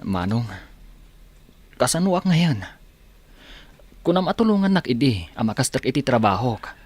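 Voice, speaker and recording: A humble Filipino younger brother in a radio drama. His mid-pitched voice is hesitant and pleading, recorded close and dry. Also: very animated, normal speed, a little mellow, husky, no echo.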